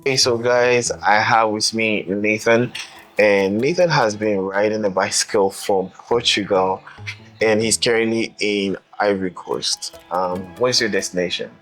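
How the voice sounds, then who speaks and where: Male interviewer, fairly low voice, casual and conversational hosting. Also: a little slow, fairly bright tone, faint music behind, poor audio. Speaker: interviewer, channel host.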